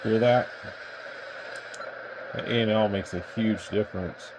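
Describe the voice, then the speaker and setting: A man's voice: fairly low pitch, steady tone, unhurried, background noise. An American man giving a casual hands-on product review, explaining things in a rambling, matter-of-fact way. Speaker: radio shop owner and product reviewer.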